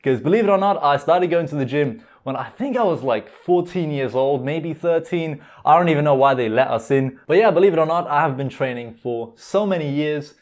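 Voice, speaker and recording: Higher-voiced young British-sounding man, casual vlogger. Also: darkish tone. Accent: mostly British with slight American and Lithuanian tinge. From Lithuania, later moved to the UK. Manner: informal, slangy, with frequent "like", "dude" and "man". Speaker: YouTuber. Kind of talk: casual Q&A vlog chat.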